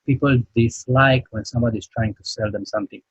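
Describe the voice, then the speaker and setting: A man's voice: mid-range voice, fairly even intonation, fairly quick, slightly rough, no echo, rough recording. A man from Romania with a Romanian accent gives a business presentation, hesitant and full of fillers and restarts. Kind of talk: recorded sales pitch.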